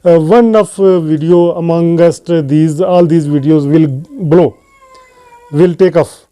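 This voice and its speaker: A man with a Pakistani accent hosting an English-learning channel, talking casually to camera and pausing often on "uh" fillers. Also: fairly high pitch, steady tone, mellow. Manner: hesitant, frequent "uh" fillers between phrases. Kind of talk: casual unscripted talk to camera.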